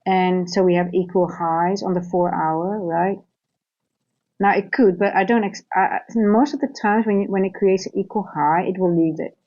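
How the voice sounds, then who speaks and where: A woman's voice: lowish voice, fairly even intonation, moderate pace, dark tone, highs cut off. A woman from the Netherlands with a Dutch accent, thinking aloud in live trading commentary, often restarting and asking "right?". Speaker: trading coach.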